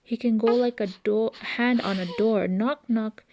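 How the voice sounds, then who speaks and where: Female children's storyteller: slow delivery, warm relaxed timbre, playful and expressive with noise words. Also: fairly high pitch. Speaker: children's book reader. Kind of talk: read-aloud storytelling for young children.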